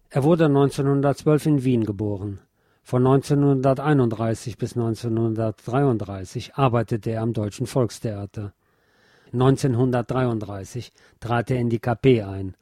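Male narrator for literary documentary reading; mid pitch, dark soft tone, dry studio sound. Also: no room sound. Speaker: narrator reading a historical letter and credits.

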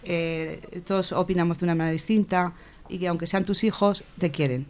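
A woman from reflecting informally, halting and rephrasing with emphasis as a discussion participant. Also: on the low side, steady tone, a little mellow. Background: faint music.

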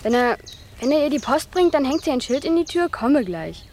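A woman playing an insistent, pleading, naive teenage village girl in a radio drama, talking haltingly. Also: high voice, sing-song, darkish tone, a little hiss or hum.

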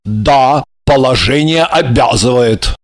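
An actor playing a young, earnest and exasperated lover in an audiobook drama, speaking emphatically. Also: male voice, medium pitch, close to an everyday voice. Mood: earnest, placating. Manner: emphatic, drawn-out, pleading then threatening. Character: young earnest, honourable, exasperated male lover, soon a father.

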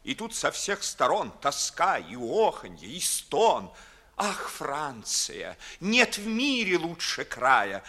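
Casting: idealistic, sardonic young aristocrat, highish voice, husky edge, grand classic radio declamation. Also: male voice, huge pitch swings, slow, bright, forceful tone, full character voice. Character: impassioned, disillusioned young nobleman, bitterly witty idealist. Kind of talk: classic verse comedy, 1950s radio theatre acting.

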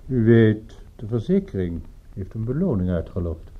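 Low-pitched polite businessman. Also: very animated, moderate pace, phone-quality sound. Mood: probing. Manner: polite, formal. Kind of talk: radio drama acting.

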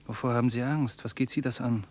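A man's voice: medium pitch, fairly even intonation, a little fast, darkish tone, dry recording, lightly acted. An actor playing an obsessive, defiant, imperious rich man in a German radio drama, declaiming and repeating his commands. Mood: cold, commanding.

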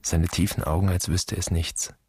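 Very deep husky voice, weary haunted son, sparse measured phrases, poetic radio play. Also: male voice, level tone, a little mellow. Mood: haunted remembering.

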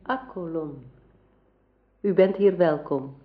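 Courtly sorceress, gracious, scheming, formal, deliberate, radio play, muffled lo-fi sound. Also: female voice, fairly low pitch. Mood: courting, scheming. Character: courtly enchantress sister of a king, gracious and scheming. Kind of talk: radio drama acting. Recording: dull, lo-fi radio play recording.

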